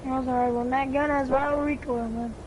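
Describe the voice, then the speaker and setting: A gamer with a high voice talks casually with teammates in the middle of an online match. The delivery is conversational, made up of short tactical callouts. Clear noise runs through the recording. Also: flat delivery, a little fast, darkish tone. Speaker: video game player talking with teammates. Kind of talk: casual multiplayer gaming chatter, callouts to teammates. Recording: clearly noisy gaming mic recording.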